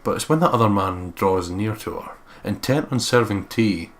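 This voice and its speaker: A man's voice: on the low side, lively intonation, moderate pace, fairly bright tone. A man narrating a visual novel aloud as a let's player, reading expressively. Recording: faint background noise.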